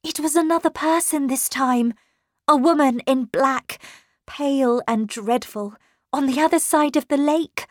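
A woman's voice: a little high, lively intonation, slow pace, dry recording, studio quality, pitched above the performer's own voice. A woman voicing an anxious, insistent young governess in a storybook narration, speaking in an urgent, emphatic, impatient manner. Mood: fearful, urgent.

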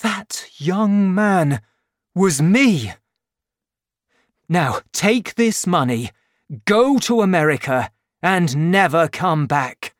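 A man voicing a passionate, earnest young man in a read-aloud storybook, speaking urgently with a raised pitch and loud emphasis. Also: animated, very slow, pauses often, no echo, clean studio sound, full character voice.

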